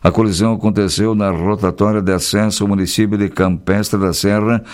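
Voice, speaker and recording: A man's voice: fairly low pitch, steady tone, fairly quick, darkish tone. Chatty man reading local news. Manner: chatty, folksy commentary between read news items. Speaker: radio news host.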